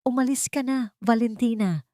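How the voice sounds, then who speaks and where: A woman's voice: a little high, normal speed, dark tone, dry recording, clean studio sound, pitched above the performer's own voice, natural voice. A woman voicing a haughty, cruel older mother-in-law in a story drama, snobbish and commanding. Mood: threatening.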